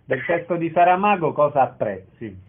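A man's voice: fairly high pitch, very animated, moderate pace, breathy edge. A man hosting a radio show, introducing and questioning a guest in an interview. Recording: tinny narrow phone-like radio sound.

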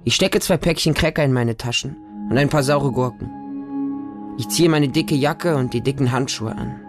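An actor playing a wounded, innocent boy who tells of his childhood in a radio drama, in simple, deliberate, repeated phrases. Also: mid-range voice, music in the background, close to an everyday voice. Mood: quietly wistful. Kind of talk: radio drama monologue, storytelling.

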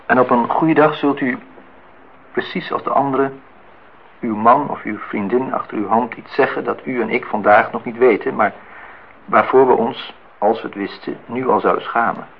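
An actor playing a disillusioned, reflective man in his thirties in a Dutch radio play, speaking in measured, philosophical phrases. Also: mid-range voice, vocal fry, breathy edge. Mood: sardonic, lecturing. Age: 30s. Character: disillusioned, reflective man musing on truth and love. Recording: dull, noisy old radio play recording.

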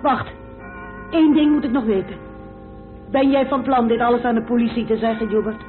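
A woman's voice: on the high side, fairly even intonation, normal speed, faint music behind, close to an everyday voice. An actress playing a concerned, questioning woman in a radio play. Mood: troubled. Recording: muffled old radio recording with hiss.

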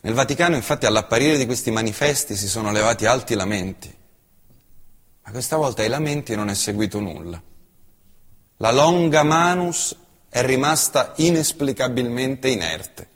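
A male stage actor delivering a theatrical monologue, impassioned and shifting from hushed tones to loud bursts. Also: mid-range voice, expressive, normal speed, slightly rough, big-room sound. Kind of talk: theatrical monologue reciting an essay.

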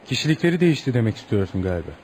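An actor playing a courteous, reflective gentleman visitor, gently probing and philosophical, in radio theatre. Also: medium pitch, sing-song, very fast, a little hiss or hum, close to an everyday voice.

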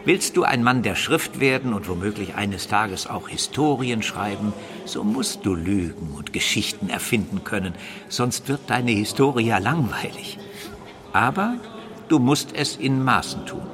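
An actor playing a shrewd, learned older bishop in a radio drama, speaking in a measured, didactic way. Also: male voice, lowish voice, expressive, background noise. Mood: instructive.

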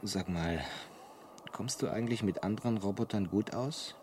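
A man playing an earnest, bewildered ordinary human in a radio drama, speaking in a hesitant, soft way. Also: on the low side, moderate pace, a little hiss or hum, lightly acted. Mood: dreamy, wistful. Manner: hesitant, soft-spoken, wondering. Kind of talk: German radio drama acting.

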